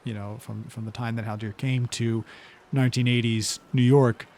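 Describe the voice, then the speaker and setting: A man's voice: mid-range voice, flat delivery, normal speed, a little mellow, slight background noise. A man playing a tabletop game, chatting casually in a musing, questioning way.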